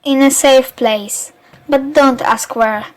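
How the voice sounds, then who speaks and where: Protective, urgent vagabond played by a schoolgirl for a radio play, high-pitched. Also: slow, poor audio. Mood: reassuring. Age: child or teenager.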